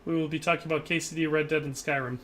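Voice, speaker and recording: A man's voice: on the high side, steady tone, rapid-fire, fairly bright tone, a little hiss or hum. A man streaming a shooter live, chatting jokily, reading chat and laughing often. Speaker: game streamer.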